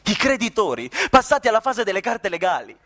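High-pitched, swooping theatrical male storyteller. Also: big pitch swings, fairly quick, fairly bright tone. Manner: dramatic, ironic, savouring lists and descriptions. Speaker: stage actor narrating. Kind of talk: theatrical radio-play storytelling.

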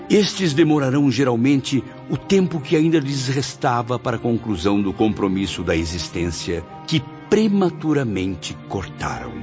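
An actor playing an anguished man in a dark afterlife cave, brooding and dramatic. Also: lowish voice. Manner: brooding, dramatic, rising to terrified shouts. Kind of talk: radio drama acting. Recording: dull lo-fi audio over music.

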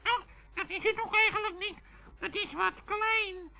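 A woman's voice: very high voice, fairly even intonation, a little slow, creaky, heavily acted. A woman voicing a spoiled, cheeky little horse-like fantasy creature, whiny and demanding, in a children's radio play. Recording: dull, muffled old recording.

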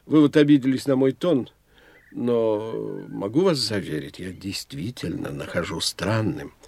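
An actor playing a self-assured, eccentric older doctor of the soul in an audio drama, speaking in a pondering, indulgent, lecturing manner. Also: male voice, lowish voice, animated, a little slow, vocal fry, a little mellow, no echo, full character voice. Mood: patient, lecturing. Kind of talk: theatrical audio drama dialogue.

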